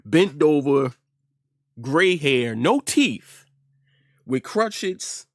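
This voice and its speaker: A man's voice: a little high, big pitch swings, very slow, no echo, clean studio sound. A middle-aged American man hosting an informal opinion commentary, speaking emphatically and repeating phrases for effect. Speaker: host of an online news commentary show.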